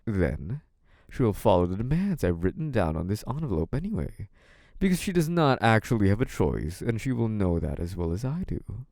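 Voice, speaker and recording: A man's voice: lowish voice, big pitch swings, moderate pace, creaky, a little mellow, no room sound, clean studio sound. A man playing a smug, menacing, possessive young mafia boss in an intimate audio roleplay, taunting in a theatrical, mock-sweet way. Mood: commanding. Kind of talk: scripted audio roleplay, intimate monologue to one listener.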